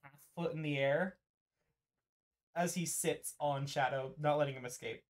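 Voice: mid-range voice, moderate pace. A game master casually narrating an online roleplay over a voice call, in an informal, hesitant way.

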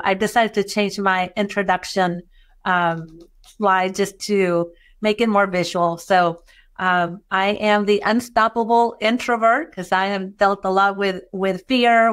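A woman's voice: mid-range voice, clean tone. A middle-aged woman from Chile with a Chilean Spanish accent touched by Texan, hosting a bookkeeping webinar over a video call in a welcoming way with many fillers. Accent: Chilean Spanish with some Texan. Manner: warm, welcoming, with frequent fillers. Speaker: webinar host and bookkeeping educator. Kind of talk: webinar presentation.

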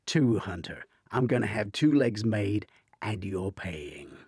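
A man's voice: on the low side, expressive, slow pace, darkish tone, husky, no room sound, clean studio sound, pitched above the performer's own voice, heavily acted. A man voicing a stubborn, earnest tech colleague in an audiobook, defensive and matter-of-fact.

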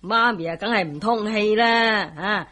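A woman's voice: mid-range voice, measured pace, fairly bright tone, lightly acted. An actress with a Hong Kong Cantonese accent playing a scolding, sarcastic middle-aged mother in a radio drama.

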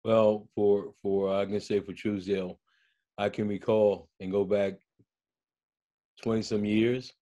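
Older man, low and level voice. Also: level tone, measured pace, pauses often, mellow, highs cut off. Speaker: school coach. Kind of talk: panel discussion. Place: home room, indoors. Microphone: video call.

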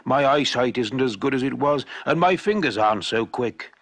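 A man voicing a kind, careful old shoemaker in a storybook reading, speaking softly and slowly. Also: mid-range voice, animated, dry recording. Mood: patient. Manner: soft-spoken, slow and careful.

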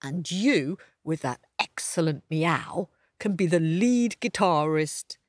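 A woman's voice: deep voice, animated, takes it slowly, no room sound, studio quality, full character voice. A woman voicing a vain, cheery male donkey in a storybook, jovial and bossy, full of exclamations. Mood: jovial, enthusiastic. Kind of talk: storybook character voice.

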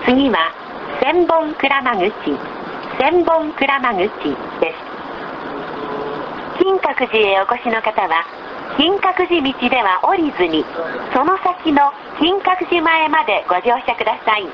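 A woman reading scripted bus stop announcements in a formal, measured, polite manner on a low-quality recording. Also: very animated, lo-fi sound. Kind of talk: scripted public transit announcement. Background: loud vehicle noise.

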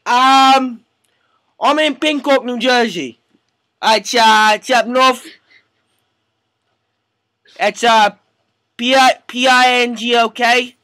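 A man's voice: very high-pitched, fairly even intonation, slow pace, long pauses, bright, forceful tone, no room sound, heavily acted. A man voicing a pushy, hesitant British immigrant on a prank phone call, with a put-on accent and wheedling, rambling manner.

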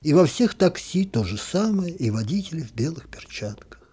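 A man reading a literary story aloud in an expressive, gently ironic storytelling manner. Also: fairly high pitch, normal speed, breathy edge.

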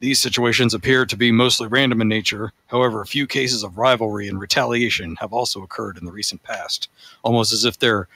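A man's voice: on the low side, steady tone, brisk, pushed and bright, dry recording. A man voicing a haughty, pedantic vampire forum poster, reading formally and pompously. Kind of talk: dramatic reading of forum posts.

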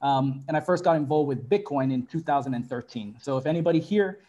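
A man in his thirties from Fiji, introducing himself as a panelist on a video call in a relaxed, friendly way with many fillers. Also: mid-range voice, fast talker, lo-fi sound. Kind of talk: webinar self-introduction. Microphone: earbuds on a video call.

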